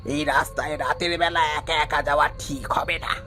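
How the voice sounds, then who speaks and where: A man voicing an old male cart driver who is secretly a ghost, speaking slowly and ominously in a cartoon. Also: on the high side, steady tone, sharp tone, soft background music. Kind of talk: cartoon voice acting.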